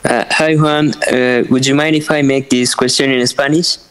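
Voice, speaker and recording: A man's voice: mid-range voice, fairly even intonation, moderate pace, fairly bright tone, clear, resonant tone, low-quality recording. A man, a reporter, asking a fighter a question politely with some hesitation. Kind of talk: press question.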